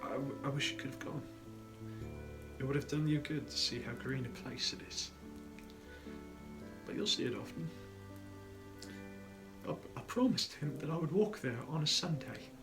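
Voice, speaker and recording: A man voicing a meek, kindly, grieving father in a dramatic storybook, halting and emotional, with music behind. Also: medium pitch, voice pushed up, close to an everyday voice, low-quality recording. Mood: wistful, tender. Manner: halting, soft-hearted, emotional.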